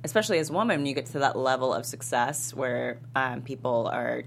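A woman from Texas with an exceptionally low voice chats casually as a podcast panelist. There is faint noise behind her voice. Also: lively intonation, a little fast, vocal fry. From Texas, United States. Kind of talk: casual chat.

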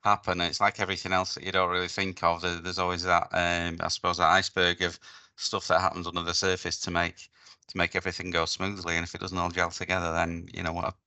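A man co-hosts a podcast in a deep voice with a very bright, forceful tone. He speaks hesitantly, stuttering at the starts of sentences, with a dry close sound. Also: completely flat delivery, quick pace, no echo. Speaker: podcast co-host. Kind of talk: casual interview chat.

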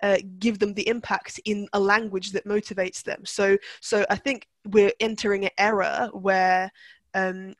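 A woman's voice: mid-range voice, dry recording. A young woman from Turkey, a guest in a conversational interview, speaking reflectively with frequent restarts and fillers. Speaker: guest, NLP practitioner.

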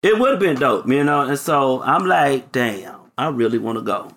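Gravelly, laid-back male co-host. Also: medium pitch, lively intonation, normal speed, fairly bright tone. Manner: laid-back, playful teasing. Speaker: podcast co-host. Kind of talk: casual podcast chat.

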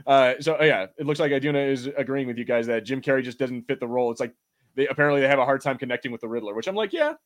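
A man's voice: mid-range voice, very fast. A man co-hosting a casual watch-party film chat, rambling informally and swearing freely. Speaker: podcast co-host.

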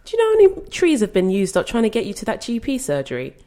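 A woman's voice: fairly high pitch, huge pitch swings, fast talker, mellow. A woman acting a concerned, exasperated young grandchild in an audio play, reasoning patiently but firmly. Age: young adult.

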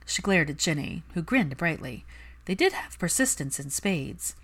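A woman's voice: sits low, very animated, moderate pace, fairly bright tone, slightly rough. A woman reading fan fiction aloud as a scripted story narration.

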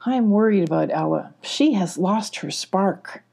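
A woman voicing a gentle, caring animal mother in a children's storybook, earnest and anxious. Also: mid-range voice, expressive, measured pace, gravelly. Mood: worried. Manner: earnest, worried.

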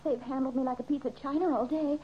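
A woman's voice: high voice, steady tone, fast talker, lightly acted. An actress playing an anxious young woman wrongly accused, in an old-time radio mystery. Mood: relieved, bewildered. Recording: muffled, noisy old radio recording.

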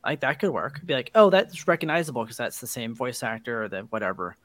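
A man's voice: mid-range voice, fast, fairly bright tone, dry recording. A man co-hosting a podcast in casual, conversational chat. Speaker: podcast co-host.

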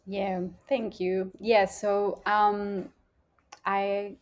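A woman's voice: mid-range voice, little pitch movement, drawn-out words. A woman in her mid-thirties from Colombia, with a Colombian accent, telling her personal story in a podcast conversation, reflective and searching for words. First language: Spanish. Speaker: podcast guest, holistic coach.

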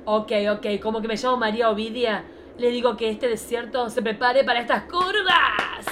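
A man's voice: very high-pitched, moderate pace. A man playing a bossy, catty old-guard showgirl diva in a campy comic radio play. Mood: bossy, showy. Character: bossy, bickering oldest cabaret showgirl diva, a woman. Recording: clearly noisy background.

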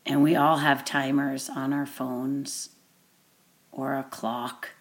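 Slow, low-pitched woman guiding meditation. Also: flat delivery. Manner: deliberate, measured phrasing with long pauses. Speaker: meditation guide. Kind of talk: guided meditation introduction, gentle instruction.

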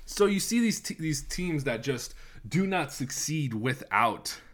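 A man's voice: fairly high pitch, sing-song, measured pace, a bit bright. A young man with a stuffy cold voice, hosting a casual sports podcast, rambling and opinionated with lots of restarts. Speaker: podcast host.

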